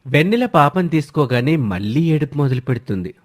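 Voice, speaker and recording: Animated male story narrator. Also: a little high, a little fast.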